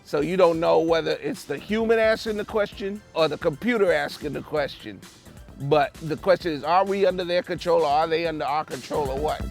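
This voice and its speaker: Gravelly, higher-pitched man musing. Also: soft background music. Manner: halting, informal, slangy. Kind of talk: casual interview musing.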